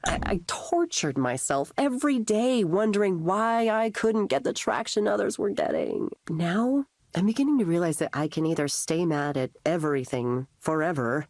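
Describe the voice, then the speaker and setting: An actress playing a discouraged, anxious artist confiding her self-doubt in a visual novel, in a halting, confessional way. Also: on the low side, expressive, a little mellow, dry recording, clean studio sound. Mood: resigned, searching. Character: discouraged, anxious young woman artist in therapy. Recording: game dialogue under clear music.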